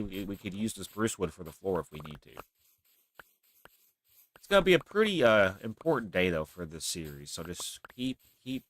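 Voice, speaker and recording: A man, hosting his own gaming channel, rambling and thinking out loud as he plays, his nose stuffy from a cold. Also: low voice. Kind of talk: casual gameplay commentary.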